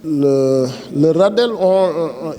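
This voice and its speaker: A man's voice: fairly high pitch, drawn-out words, dark tone. A man with a Senegalese accent explaining things in an interview as an agency director, hesitating and restarting his phrases. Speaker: director general of a planning agency.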